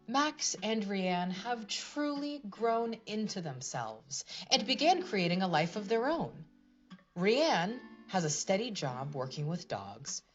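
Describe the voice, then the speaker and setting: A woman's voice: lowish voice, highs cut off. A woman narrating a scripted storybook tale for a puppet show in a dramatic fairy-tale manner.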